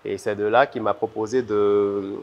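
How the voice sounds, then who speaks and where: A man from Benin speaks French with a Beninese accent in a relaxed interview exchange. His voice sits fairly low, and he often doubles back on words while thinking. A faint noisy ambience runs behind him. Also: moderate pace, highs cut off. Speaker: interview guest, visual artist. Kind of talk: conversational interview answers.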